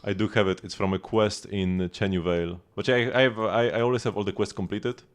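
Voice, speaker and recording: A man casually commentating on a game livestream, thinking aloud haltingly and repeating words as he goes. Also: on the low side, steady tone, faint music behind. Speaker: live streamer.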